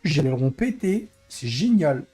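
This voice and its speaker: A hoarse man who is losing his voice, grumbling in exasperation as he comments live on his racing game stream. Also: fairly high pitch, very animated, measured pace, mellow, faint music behind. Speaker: streamer and racing league head.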